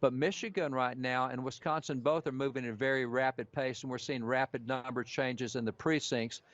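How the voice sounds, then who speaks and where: A man gives live election analysis as a guest commentator. He is analytical and qualifies his points with care. His middle-pitched voice comes over a dry, slightly dull lo-fi feed. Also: steady tone, brisk, a bit bright, no echo.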